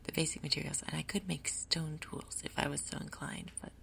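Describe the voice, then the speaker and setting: A woman hosting a gaming video in a soft, breathy ASMR whisper, explaining things gently and without hurry. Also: sits low, fairly even intonation, brisk. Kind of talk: whispered ASMR game commentary.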